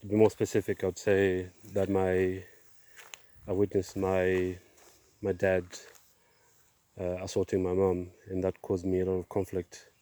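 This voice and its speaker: A middle-aged man giving a personal testimony outdoors, speaking in a halting, measured way with pauses. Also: deep voice, monotone, warm tone. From Zimbabwe, born in Zambia. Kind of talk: personal testimony, casual monologue. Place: outdoors, on a walk.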